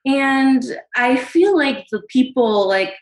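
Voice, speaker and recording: A woman's voice: sits high, lively intonation, slow pace, reverberant. A woman in her twenties calling in to a podcast, explaining her side of a conflict with her boyfriend hesitantly and with many fillers. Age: 20s. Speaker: caller. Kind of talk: casual conversation.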